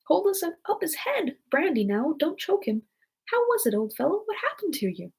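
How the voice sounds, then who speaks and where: A woman's voice: very high-pitched, big pitch swings, moderate pace, vocal fry, darkish tone, voice pushed up, exaggerated voice. A woman voicing bustling, bickering small storybook workers, calling out bossy orders in a quarrelsome way. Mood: anxious.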